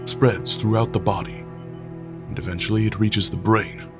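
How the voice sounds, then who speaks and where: A man's voice: on the low side, little pitch movement, moderate pace, lightly acted. An actor playing a proud, guarded male paladin leader who speaks tersely. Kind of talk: audio drama acting. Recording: music bed underneath.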